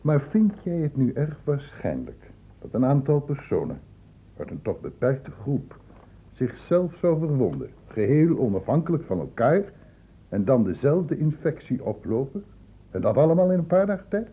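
An actor playing a patient, probing public-health doctor in a radio drama, explaining and questioning insistently. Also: male voice, mid-range voice, big pitch swings, normal speed, slightly rough. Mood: insistent, lecturing. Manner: insistent, explanatory questioning with short pointed prompts. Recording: dull lo-fi old radio play recording.

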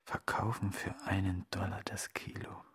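An actor playing a bitter man who whispers menacingly and flatly in a radio drama. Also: low voice, normal speed, breathy edge, heavily acted. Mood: bitter whispering. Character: bitter man renouncing and selling his own body.

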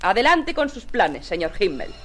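A woman's voice: medium pitch, sing-song, normal speed, a bit bright, a little hiss or hum. An actress playing a learned young woman scientist, thoughtful, uneasy and earnest, in a 1950s radio serial. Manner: earnest, hesitant. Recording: vintage 1950s radio, music underneath.

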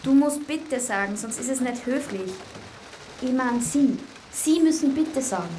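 A performer playing a bossy, taunting child in a radio drama, slow and insolent. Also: high voice, expressive, warm tone, a little hiss or hum, low-quality recording. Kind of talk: German radio drama acting.

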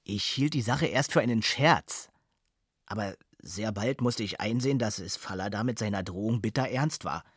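A man's voice: lowish voice, moderate pace, fairly bright tone, slightly rough, dry recording, clean studio sound. An actor playing a male witness who soberly recalls a dangerous night, in a precise memoir-reading style for a documentary.

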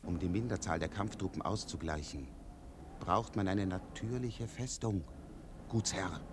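Sceptical deserter colonel, low voice, formal, admonishing, sardonic, radio drama, noisy recording. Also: male voice, measured pace. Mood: instructing, stern. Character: deserted army colonel, stern, sceptical, calculating strategist. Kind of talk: German radio play acting.

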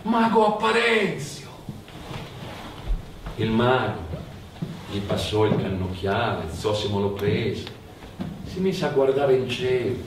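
Guy telling a folk tale like on stage, slow and dramatic, really echoey and a bit far from the mic. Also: mid-range voice. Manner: dramatic, incantatory, repeats phrases. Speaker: storyteller and stage actor. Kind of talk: theatrical folk-tale narration. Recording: roomy, distant mic, faint background noise.

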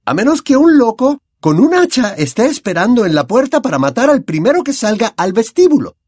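A dry, impatient schoolmistress, put on by a male narrator, clipped and cold. Her voice sits high with a lively rise and fall, in a dry, close recording. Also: a little fast, no echo, full character voice. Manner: curt, cold, sarcastic.

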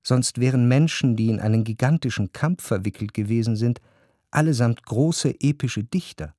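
An actor playing a reflective, grieving narrator in a literary radio drama, with measured, introspective and deliberate phrasing. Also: male voice, mid-range voice, dark tone, lightly acted. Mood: contemplative. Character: reflective, grieving male narrator remembering his grandmother.

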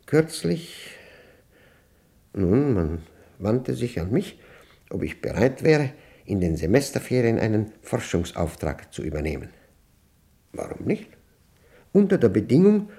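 An older, reclusive professor of mathematics in a radio play. His voice is fairly low and full of creak, with wide swings in intonation. He speaks deliberately and pedantically, proud and uneasy, halting between precisely formed phrases. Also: warm tone. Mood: hesitant, explaining. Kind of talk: German radio play monologue.

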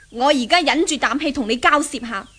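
Haughty, high-voiced young rich woman. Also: sharp tone. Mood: scornful, impatient. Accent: Hong Kong Cantonese. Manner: haughty, sneering, imperious. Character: arrogant, domineering rich young woman, jealous love rival. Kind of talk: 1970s radio drama acting. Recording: 1970s radio drama recording.

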